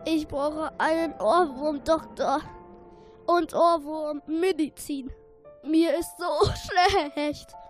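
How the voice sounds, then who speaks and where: A woman voicing a tiny male earworm, whiny and excitable, in a children's audio story. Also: sits high, takes it slowly, faint music behind, voice pushed up. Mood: queasy, whining. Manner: exclaiming, plaintive, childlike.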